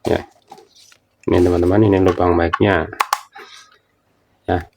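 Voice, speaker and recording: A man's voice: sits low, level tone, measured pace, background noise. A man giving a casual product demonstration, explaining things informally and often repeating himself. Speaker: product reviewer.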